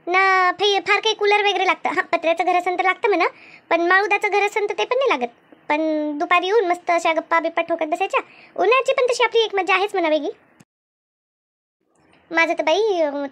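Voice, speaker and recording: A woman voicing a chatty, good-humoured village gossip in an animated comedy, with a rural Maharashtrian accent and exclaiming, colloquial talk. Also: extremely high pitch, a little fast. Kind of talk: animated cartoon comedy dialogue.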